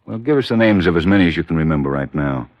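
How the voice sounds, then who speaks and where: Old radio police chief: American, brisk, rapid questioning, dry muffled recording. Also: male voice, deep voice, animated, no echo. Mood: pressing. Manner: direct, questioning. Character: brisk, businesslike small-city police chief. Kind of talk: 1950s radio drama acting. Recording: dull vintage radio recording.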